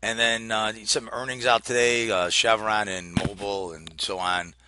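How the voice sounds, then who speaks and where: A man giving informal, unscripted market commentary as an analyst, talking haltingly as he thinks aloud and reads out numbers. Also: on the low side, steady tone, noisy background. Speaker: market analyst hosting a trading briefing.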